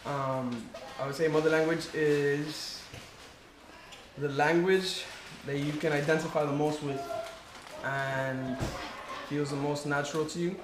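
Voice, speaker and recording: A man with a somewhat high voice muses through an answer, hedging and filling pauses. The echoey recording carries clear background noise throughout. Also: steady tone. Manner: musing, hedging with fillers. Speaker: interviewee. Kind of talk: casual interview answer.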